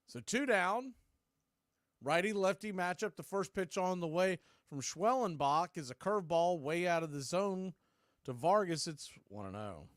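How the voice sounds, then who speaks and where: A man's voice: high-pitched, expressive, a little slow, no echo, studio quality. A man giving live baseball play-by-play commentary as the announcer.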